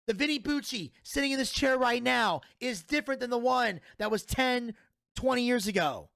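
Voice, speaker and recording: A man's voice: very high voice, expressive, moderate pace, creaky, fairly bright tone, no echo, studio quality. A man in his thirties hosting a solo podcast, giving a blunt, profane and opinionated review. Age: 30s. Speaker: podcast host.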